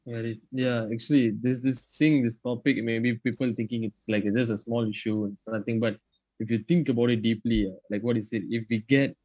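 A nineteen-year-old student with a Malaysian English accent, a guest in a casual podcast chat, speaking haltingly with many restarts. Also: male voice, mid-range voice, steady tone, a little mellow, no echo, muffled recording.

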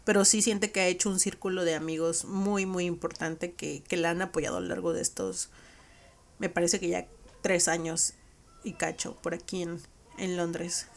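A woman's voice: lowish voice, little pitch movement, moderate pace, gravelly. A woman with a Mexican accent hosting a podcast, recapping a conversation in a reflective, conversational way. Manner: reflective, conversational, frequent "¿no?" tags. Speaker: podcast host.

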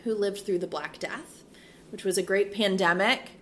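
A woman in her thirties, a priest and school chaplain, talking in a relaxed interview with a soothing, reflective manner. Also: mid-range voice, normal speed, slight background noise. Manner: frequent fillers and restarts, laughs easily. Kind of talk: casual interview conversation.

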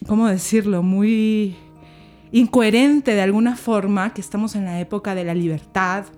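A woman's voice: medium pitch, a little mellow, faint music behind. Thoughtful woman on a podcast. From Peru. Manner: thoughtful, measured, rhetorical questions. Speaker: podcast guest. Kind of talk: reflective conversation.

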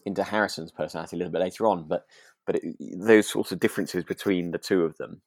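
A man with a slightly low voice, recorded dry, chats as a podcast co-host. He is agreeable and hesitant, feeling his way through his thoughts in a relaxed style. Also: fast, no echo. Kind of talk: relaxed chat discussing a radio drama.

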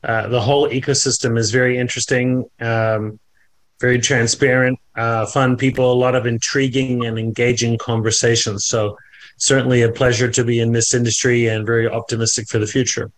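A man from New Zealand speaks as a guest on a podcast, in a friendly way with fillers and repeated words. His voice sits at a medium pitch. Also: level tone, brisk, rough recording. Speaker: company founder, podcast guest. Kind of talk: podcast interview.

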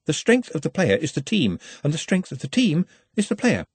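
A man's voice: on the high side, sing-song, brisk, darkish tone, husky, no echo, voice pushed up. A man voicing an earnest, learned male servant in an audiobook, nervous and deferential, with precise, formal speech.

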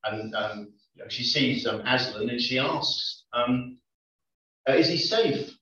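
A man's voice: medium pitch, unhurried, sharp tone, slight background noise. Man preaching in an echoey church. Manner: conversational, hesitant with many ums. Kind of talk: sermon. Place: church hall. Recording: echoey lo-fi church recording from far off.

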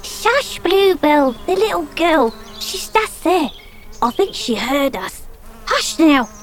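A woman voicing a cautious, scolding rose flower with a hushed, bossy manner, for a children's audio drama. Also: high voice, sing-song, slow, slight background noise, exaggerated voice. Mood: hushing, cautious.

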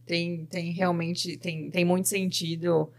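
A woman's voice: on the low side, flat delivery, takes it slowly, gravelly. A woman hosting a podcast interview, affirming and encouraging her guest. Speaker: podcast host.

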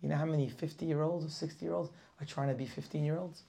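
A man's voice: a little high, fairly even intonation, quick pace, vocal fry, warm tone. A man teaching a weekly Torah class, preaching with passion, firing rhetorical questions and sometimes dropping to a near whisper.

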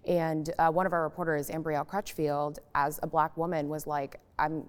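Woman radio reporter talking casually on a panel, low voice, echoey hall sound. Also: level tone, moderate pace, clear, resonant tone. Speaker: public radio journalist, panel guest. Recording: long echoing reverb.

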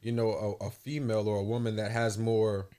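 A man speaking as a guest in a casual conversation, in an earnest, reflective, measured way. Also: lowish voice, flat delivery, dark tone, smooth. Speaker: podcast guest.

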